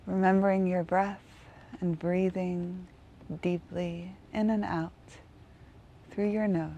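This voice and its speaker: Female meditation guide: soft-toned and relaxed, gravelly fry, gentle unhurried phrasing with long gaps. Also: on the low side, dull audio, slight background noise.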